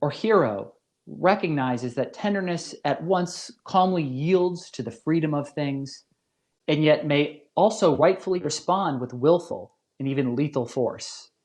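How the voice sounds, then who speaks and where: A man giving a scripted academic lecture as a professor, with deliberate, formal phrasing and careful emphasis. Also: fairly high pitch, darkish tone. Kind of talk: scripted philosophy lecture narration.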